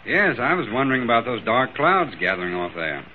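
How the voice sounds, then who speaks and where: An actor playing a concerned but guarded doctor, polite, measured and probing, in old-time radio drama style. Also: male voice, medium pitch, very animated, close to an everyday voice. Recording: tinny, very noisy old radio broadcast.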